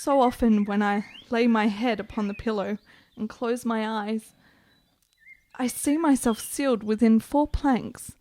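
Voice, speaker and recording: Actress as sickly young noblewoman, higher voice, intense, brooding, ornate period radio-play diction. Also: steady tone, a little slow, warm tone, lightly acted. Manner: formal, ornate, dramatic delivery. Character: ailing, bitter, melancholy young Italian gentlewoman, intense and morbid. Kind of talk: period radio drama acting.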